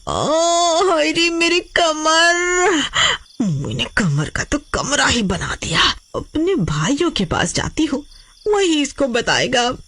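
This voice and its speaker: Woman playing a shrill, sharp-tongued nagging wife. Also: very animated, takes it slowly, heavily acted. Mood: wailing indignation. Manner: nagging, melodramatic. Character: quarrelsome village wife, sharp-tongued nag. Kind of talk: Hindi horror cartoon story voice acting.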